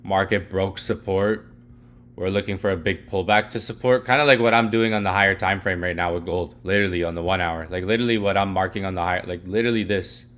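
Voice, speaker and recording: A young man teaching live, walking through a price chart in casual, rambling talk full of "like" and "literally", his voice going hoarse and his throat needing clearing. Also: low-pitched, fairly even intonation, quick pace, fairly bright tone. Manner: rambling, heavy filler "like" and "literally". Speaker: trading educator.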